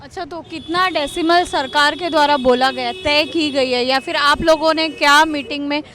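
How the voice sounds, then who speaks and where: A woman reporter with a high-pitched voice conducts a street interview. She speaks in questions, prompting the person she is interviewing for a view. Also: steady tone, normal speed, bright, forceful tone. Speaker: news reporter. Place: outdoors on an urban street.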